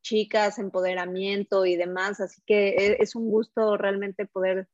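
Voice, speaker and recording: A woman's voice: medium pitch, little pitch movement, moderate pace, a little mellow, no echo. A woman with a Mexican accent, telling personal stories casually and expressively as a podcast guest. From Mexico City, Mexico. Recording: dull lo-fi microphone sound.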